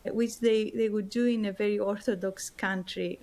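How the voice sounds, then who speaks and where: A woman's voice: mid-range voice, steady tone, normal speed, a little mellow. Woman, Greek accent, guest author, earnest, heartfelt, searching for words. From Greece. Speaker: guest author and spiritual teacher. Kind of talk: interview answers, personal story.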